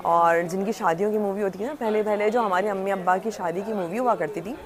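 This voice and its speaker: A woman's voice: medium pitch, fast talker, crowd noise. A woman with a Karachi Pakistani accent hosts a casual on-location vlog, explaining things conversationally as she shows the place around and asks questions. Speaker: host and reporter. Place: on location outside and inside a shopping centre. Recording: noisy location with traffic ambience.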